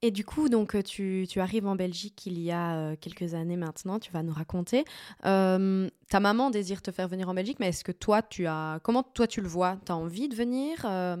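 A woman's voice: mid-range voice, brisk, darkish tone. A woman hosting a podcast, asking questions in a chatty way with fillers. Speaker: podcast host. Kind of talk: casual interview chat.

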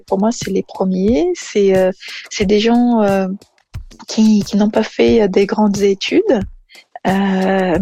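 A woman's voice: medium pitch, warm tone. A woman from Brazil, an engineering manager answering interview questions hesitantly with many fillers and restarts.

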